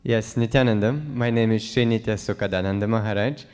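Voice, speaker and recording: A young man from Russia, sincerely recounting his life as an interview guest, halting with fillers. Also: fairly low pitch, darkish tone, clear, resonant tone. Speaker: guest, new monk. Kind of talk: earnest personal account. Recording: long hall reverb.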